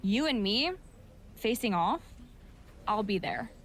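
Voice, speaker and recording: A woman's voice: mid-range voice, expressive, takes it slowly, slight background noise. A woman playing a young, admiring and independent-minded rookie wrestler in a video game cutscene, speaking earnestly. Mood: sincere.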